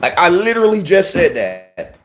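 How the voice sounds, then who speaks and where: A young man hosting a casual fan show, talking in slang and repeating himself when excited. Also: high-pitched, normal speed. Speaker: sports fan show host. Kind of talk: casual live-stream chat.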